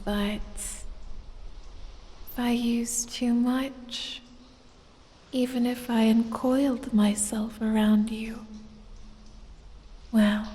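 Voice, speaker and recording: A woman voicing an evil, seductive lamia toying with her prey in a breathy, sultry, gloating ASMR roleplay whisper. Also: a little high, mellow. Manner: slow, sultry, drawn-out, gloating purr. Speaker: audio roleplay performer. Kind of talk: ASMR roleplay, addressing the listener. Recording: long cave-like reverb, faint background noise.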